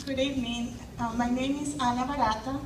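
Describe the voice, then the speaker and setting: A woman's voice: high-pitched, fairly even intonation, brisk. A woman in her thirties from El Salvador giving a hesitant public testimony, with many fillers and restarts. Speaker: speaker at a community event. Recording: echoey hall, far mic, noisy.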